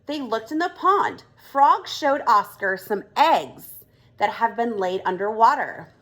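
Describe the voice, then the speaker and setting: A woman reading a children's storybook aloud like a kindergarten teacher, expressive and deliberate. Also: fairly high pitch.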